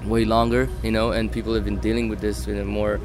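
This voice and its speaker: A man's voice: lowish voice, little pitch movement, quick pace. A man, an electronic music artist, talking casually in an interview with lots of "like" and "you know" and blunt swearing. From Slovenia. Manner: rambling, filler-heavy, outspoken and swearing.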